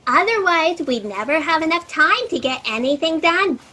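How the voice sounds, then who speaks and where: Audio comedy role: actress as chatty, oblivious female office fact-checker, very high and swoopy. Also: animated, normal speed, sharp tone, exaggerated voice. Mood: oblivious, musing. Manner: rambling, oblivious, chirpy. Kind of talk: comedic audio drama acting.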